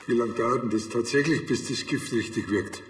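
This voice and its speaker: A man's voice: mid-range voice, moderate pace, roomy sound, slight background noise, close to an everyday voice. An actor playing a naive, earnest village policeman in a television crime comedy, speaking colloquially. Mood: curious.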